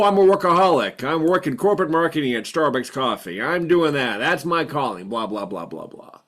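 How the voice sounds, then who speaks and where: A man in his fifties who stutters, sharing earnestly and reflectively in a group video call, repeating words for emphasis. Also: medium pitch. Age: 50s. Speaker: support group participant.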